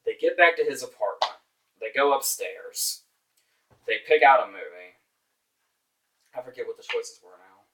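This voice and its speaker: A man's voice: medium pitch, animated, normal speed, pauses often, a bit bright. A man reviewing movies informally as a host, exasperated, emphatic and sarcastic, with casual swearing. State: voice getting hoarse. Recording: distant microphone.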